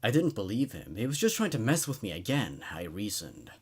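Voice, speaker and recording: A man hosting a horror story reading, narrating in an expressive, conversational, laid-back way. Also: lowish voice, a little fast, husky. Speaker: host reading horror stories aloud.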